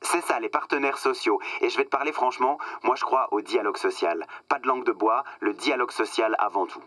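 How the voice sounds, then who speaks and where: An actor playing a smug, cynical corporate boss in a satirical radio drama, slick and condescending, coaching with emphatic pauses. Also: male voice, mid-range voice, fairly bright tone, no echo, close to an everyday voice. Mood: smooth, condescending.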